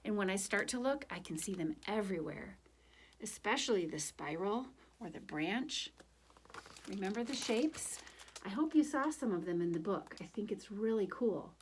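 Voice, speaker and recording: A woman reading a picture book aloud and chatting to children, speaking in an expressive, gentle and direct way. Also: mid-range voice, moderate pace, a little hiss or hum. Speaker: children's story reader for a church. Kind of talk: picture book read aloud, then informal reflection to children.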